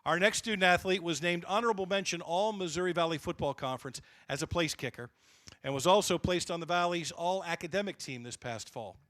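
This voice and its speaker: A man's voice: high voice, brisk, a bit bright, no echo. A man emceeing a banquet, introducing honorees in a polished announcer manner.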